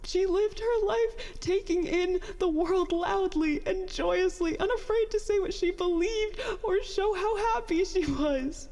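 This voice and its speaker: A woman's voice: very high-pitched, unhurried, few pauses, a little mellow, long reverb tail. A woman playing a bitter, self-doubting young former prodigy in an audio drama, with a sarcastic, self-deprecating, rambling way of talking. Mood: angry grief. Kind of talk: audio drama dialogue, confessional monologue.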